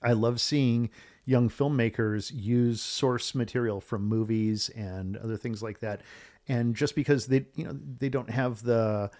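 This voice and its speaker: Older guy rambling happily about old movies in a studio, mid-pitched, keeps quoting what people told him. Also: steady tone, measured pace, darkish tone. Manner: rambling, enthusiastic, quotes himself in conversations. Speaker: filmmaker guest and co-host. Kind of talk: casual chat about movies. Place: podcast studio.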